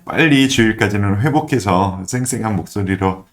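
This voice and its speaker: A man's voice: lowish voice, normal speed. A man, a pastor teaching the Bible in a conversational, earnest way, his voice slightly affected by a throat cold. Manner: earnest, explanatory, emphatic repetition. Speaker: pastor giving a daily online devotional.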